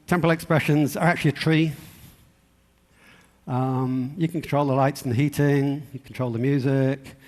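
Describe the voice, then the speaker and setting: A man's voice: mid-range voice, a little mellow. A man giving an informal technical conference talk in a conversational, wry, anecdotal way. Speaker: conference speaker. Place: indoor conference hall. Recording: reverberant hall sound.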